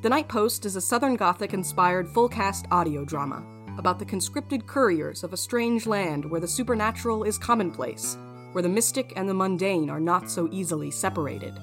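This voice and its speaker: A woman's voice: mid-range voice, lively intonation, normal speed. A woman podcast creator giving a scripted introduction to an audio drama episode. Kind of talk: scripted narration. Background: plucked guitar music.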